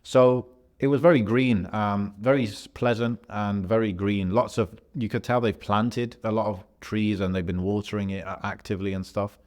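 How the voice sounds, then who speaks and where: A man from Algeria, a podcast co-host, telling a travel story in a relaxed, rambling way with slang and fillers. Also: on the low side, normal speed, hardly any pauses. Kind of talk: casual podcast chat.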